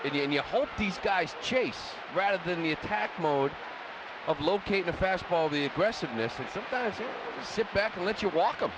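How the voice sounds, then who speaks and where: A man's voice: high voice, expressive, fairly quick, noisy background. A man giving informal, conversational color analysis on a live baseball radio broadcast. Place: stadium broadcast booth.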